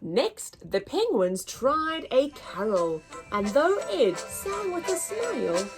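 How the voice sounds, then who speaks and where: Casting: female children's narrator, high-pitched, unhurried, expressive sing-song read-aloud, light music underneath. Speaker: children's storyteller.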